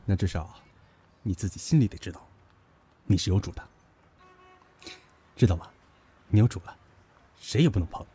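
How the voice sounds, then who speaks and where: Impulsive young male lead for radio romance, played by a man: lowish, husky, insistent, possessive and boyish. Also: expressive, normal speed, pauses often, dark tone, heavily acted. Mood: playfully possessive. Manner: emotional, insistent. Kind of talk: Chinese audio drama acting.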